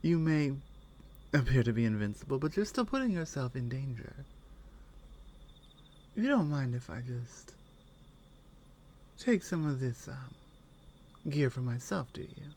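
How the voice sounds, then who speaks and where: A woman playing a strong, caring Nordic warrior companion in an intimate ASMR roleplay, speaking in halting phrases that often drop toward a whisper. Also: very deep voice, big pitch swings, dark tone, slight background noise, heavily acted. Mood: respectful, teasing. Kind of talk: ASMR roleplay, intimate one-sided dialogue.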